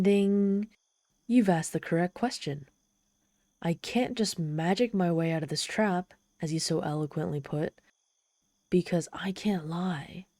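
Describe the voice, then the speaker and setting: Casting: woman to play a trapped tsundere demoness, low and soft-toned, proud yet desperately lonely, close dry ASMR roleplay delivery. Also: no room sound, lightly acted. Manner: exasperated, sardonic, pleading, halting when vulnerable. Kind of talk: ASMR roleplay monologue, dramatic storytelling.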